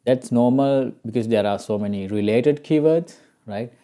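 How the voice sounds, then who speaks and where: A man's voice: medium pitch, moderate pace, mellow. A man with a Sri Lankan accent presenting an informal step-by-step tutorial, often checking in with the listener. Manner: frequently checks in with "right?" and "okay?". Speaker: SEO tutorial host.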